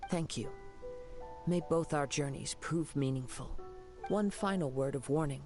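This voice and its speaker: A man voicing a composed, courteous young swordsman in a video game, with formal, poetic phrasing. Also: a little high. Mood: sincere. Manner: formal, measured, literary phrasing. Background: faint game music.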